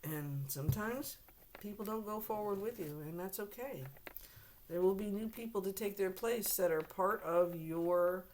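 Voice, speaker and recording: A woman doing a casual tarot reading to camera, hoarse and losing her voice, clearing her throat often and speaking to the viewer bluntly and directly. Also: medium pitch, normal speed, darkish tone, a little hiss or hum. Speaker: tarot reader.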